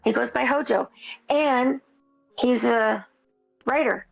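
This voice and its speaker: A woman co-hosting a casual podcast, halting with many fillers and quick to laugh. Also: fairly high pitch, creaky. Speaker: podcast co-host. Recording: dull lo-fi sound with faint music.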